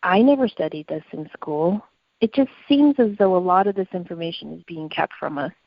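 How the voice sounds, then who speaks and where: A woman with a slightly low voice co-hosts a conversational podcast. She sounds inquisitive and articulate, stressing her points, on a very dry and somewhat dull recording. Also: a little fast, no echo. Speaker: podcast co-host.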